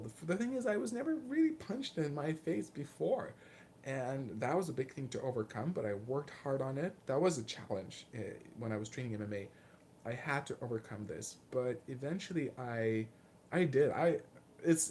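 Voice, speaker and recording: A man in his late twenties with a Lithuanian accent, casually answering viewer questions in a candid, self-deprecating, halting way. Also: medium pitch, darkish tone, slight background noise. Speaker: martial arts vlogger and fighter.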